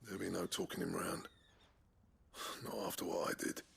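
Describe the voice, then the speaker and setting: Gravelly, weary master smith. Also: male voice, deep voice, very animated, moderate pace, a bit bright, a little hiss or hum, full character voice. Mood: bitter, regretful. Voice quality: gravelly, husky. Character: weary, proud male master smith, guilt-laden exile. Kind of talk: video game drama acting.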